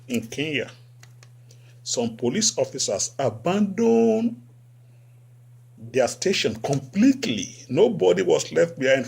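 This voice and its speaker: Gravelly Nigerian man, sarcastic host. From West Africa. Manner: sarcastic, repeats phrases, animated swings up in pitch. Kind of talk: satirical commentary.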